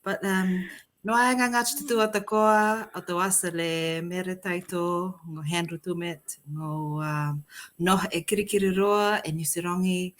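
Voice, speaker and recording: A middle-aged woman from Fiji, a poet, talking as a podcast guest with frequent laughs and thoughtful pauses. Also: on the low side, steady tone. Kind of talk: conversational interview.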